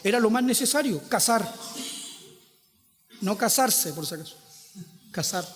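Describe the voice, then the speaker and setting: A man's voice: sits high, lively intonation, measured pace, long reverb tail. A Chilean man preaching a sermon, posing rhetorical questions and stretching out quoted scripture with emphasis. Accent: Chilean Spanish. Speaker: pastor.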